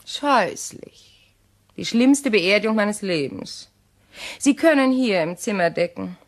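An animated, flippant widow. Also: female voice, medium pitch, slow pace. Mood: brisk, irritated. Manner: bossy, dry, flippant. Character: newly widowed shop owner, brisk, cool, irreverent lady. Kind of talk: 1950s radio drama dialogue. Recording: faintly noisy 1958 radio recording.

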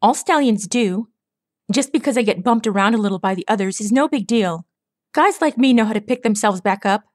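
A woman's voice: a little high, fairly quick, no echo, clean studio sound, natural voice. A woman doing a grumpy bookish stallion. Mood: grumbling. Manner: grumbling, proud, well-spoken. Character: grumpy, bookish young male earth pony.

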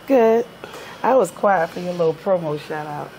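A woman's voice: lowish voice, expressive, moderate pace, a little mellow, slight background noise, low-quality recording. A middle-aged woman bantering casually and teasingly as a client on a treatment table. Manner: joking, teasing. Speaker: chiropractic client. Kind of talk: casual banter during a session. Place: large indoor treatment studio, lying on table.

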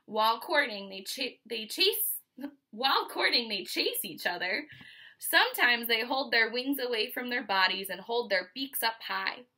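A woman in her twenties with an American accent reads a children's picture book aloud like a teacher, pausing to explain and ask questions. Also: on the high side, expressive, bright, forceful tone. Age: 20s. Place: small furnished room at home, indoors.

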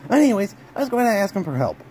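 A man's voice: high voice, big pitch swings, racing, darkish tone, a little hiss or hum. A man narrating his own casual home video, rambling with frequent restarts, recorded on a handheld phone at home.

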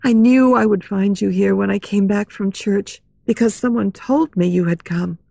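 A woman's voice: medium pitch, normal speed, vocal fry, warm tone, no room sound. A woman playing a shy, emotional young woman who speaks guardedly in an audiobook story. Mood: calm, gentle.

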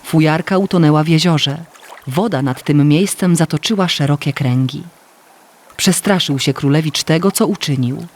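Higher-pitched man narrating a fairy tale. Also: normal speed. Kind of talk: scripted fairy-tale storytelling. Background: faint music.

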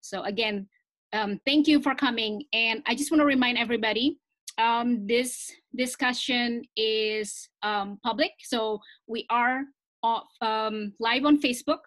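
A woman's voice: fairly high pitch, a little slow, a bit bright, no echo. A woman in her thirties informally hosting an online community discussion over a video call.

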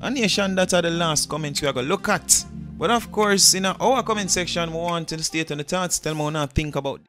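A man's voice: a little high, fairly quick, fairly bright tone. A man with a Jamaican accent hosting an entertainment news show, reading out stories and comments with his own commentary. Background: music.

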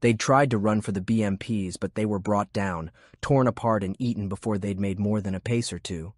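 Guy narrating a war audiobook, low husky voice, super dry sound. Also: fairly even intonation, normal speed, dry recording. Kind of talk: scripted story narration.

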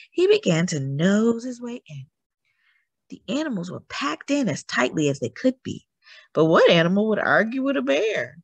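A woman's voice: low voice, vocal fry, breathy edge, dry recording. A woman reading a picture book aloud to young children, expressively and with chatty asides to the listeners. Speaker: read-aloud storyteller for children.

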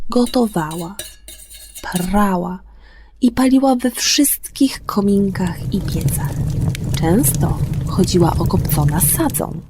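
Expressive, slow-paced woman telling bedtime stories. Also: on the low side, slight background noise. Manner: expressive, drawn-out delivery for children. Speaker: radio host and storyteller. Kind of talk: children's bedtime radio show, presenting and storytelling. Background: music.

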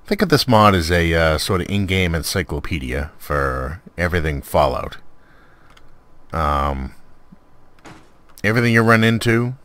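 A man's voice: low-pitched, expressive, unhurried, long pauses, a bit bright. A man giving casual, conversational gameplay commentary in a laid-back way, as the host of a showcase. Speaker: host of a game mod showcase.

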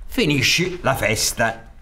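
A man in his sixties with a Sicilian accent narrating a fairy tale theatrically, in an expressive, conspiratorial way. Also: mid-range voice, slow pace. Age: 60s. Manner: expressive, chuckling, conspiratorial asides. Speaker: storyteller. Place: theatre stage. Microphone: studio microphone on stand.

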